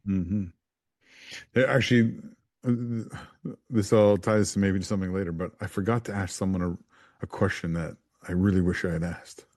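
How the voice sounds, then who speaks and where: A man co-hosting a football podcast in casual chat, speaking in a hesitant, low-key way. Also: fairly even intonation, normal speed, a little mellow, dry recording, studio quality.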